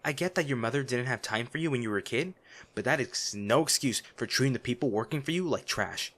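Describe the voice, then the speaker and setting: A man playing a noble lady's gruff, protective bodyguard, blunt and emotional, in a dramatic fantasy audio roleplay. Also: medium pitch, fast, a bit bright, lightly acted. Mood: angry, scolding. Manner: exasperated, emotional, blunt, with sighs. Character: gruff, protective male bodyguard of a noble lady, scolding yet anxious.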